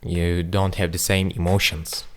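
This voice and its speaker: Low gravelly man explaining casually. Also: flat delivery, measured pace, a little hiss or hum. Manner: explanatory, loose with fillers like "like" and "um". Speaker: podcast co-host. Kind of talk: casual podcast conversation.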